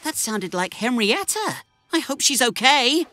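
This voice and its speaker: A woman's voice: fairly high pitch, big pitch swings, unhurried, sharp tone, slight background noise, full character voice. A woman voicing a cheerful, eager young boy train in a children's cartoon, bouncy and earnest. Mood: helpful. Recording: music under the voice.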